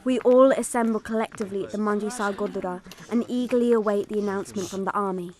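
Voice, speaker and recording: A woman's voice: mid-range voice, fairly even intonation, quick pace, darkish tone, natural voice. A woman playing a young girl survivor, giving dramatised first-person narration of an attack. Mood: sombre recounting.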